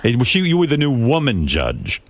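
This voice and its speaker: A man's voice: medium pitch, wildly swooping pitch, normal speed. A man hosting a radio show in a studio, firing off crude, teasing questions at a guest. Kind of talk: casual studio interview.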